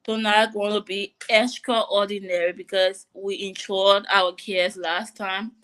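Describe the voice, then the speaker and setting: A woman's voice: medium pitch, little pitch movement, a little slow, a bit bright, poor audio. A woman hosting a live online panel show, chatty and informal, often laughing as she brings in her guests. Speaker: host.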